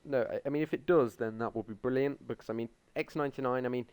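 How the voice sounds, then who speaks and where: Smooth-voiced young man, hesitant. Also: mid-range voice, quick pace, a little mellow. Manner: hesitant, full of ums. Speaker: podcast host. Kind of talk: casual tech chat.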